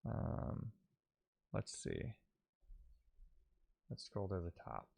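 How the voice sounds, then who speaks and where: A man streaming live coding, talking casually and haltingly as he thinks aloud and mutters to himself while he works. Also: deep voice, sing-song, vocal fry, darkish tone, breathy edge.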